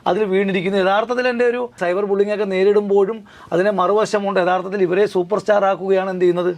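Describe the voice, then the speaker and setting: A man giving legal commentary as a studio guest, talking fast and answering his own rhetorical questions. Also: high-pitched, steady tone. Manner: rapid, repetitive, keeps asking himself rhetorical questions. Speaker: lawyer guest.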